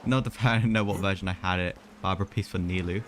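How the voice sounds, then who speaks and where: A man's voice: low voice, fairly even intonation, quick pace. A young man livestreaming a game with casual, chatty, offhand joking commentary. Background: game music and dialogue.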